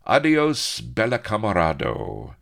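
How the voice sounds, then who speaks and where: Man playing a deep, hearty old pirate captain. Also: big pitch swings, measured pace, fairly bright tone, exaggerated voice. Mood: jovial. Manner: rough seafaring dialect, wistful and fond.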